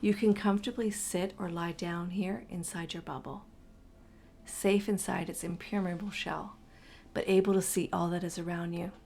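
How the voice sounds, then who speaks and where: A woman leads a guided meditation in a fairly low voice with a strong creak. Her delivery is slow, breathy and soothing, often sinking toward a whisper. Some ambient noise sits behind her. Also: fairly even intonation, darkish tone.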